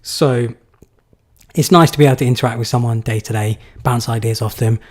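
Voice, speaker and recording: Casual young man, husky voice. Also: mid-range voice, fairly even intonation, fairly quick. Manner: casual, rambling, many fillers and restarts. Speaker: YouTube creator answering viewer questions. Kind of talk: live Q&A chat.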